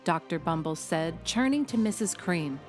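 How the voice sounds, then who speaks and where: A woman, a teacher, reading a children's story aloud in an expressive narrating style with faint music behind her. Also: lowish voice, moderate pace.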